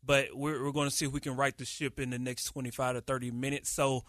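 A man co-hosting a casual sports podcast, talking with animated energy and frequent restarts. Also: mid-range voice, quick pace, no echo, studio quality. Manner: animated, hype-filled delivery with many restarts. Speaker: podcast co-host, radio producer.

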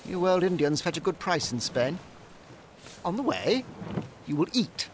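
A man acting a cold, condescending slave-trading ship captain in a radio drama, talking with slow emphasis. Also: high-pitched, very animated, noisy background. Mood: impatient, scornful.

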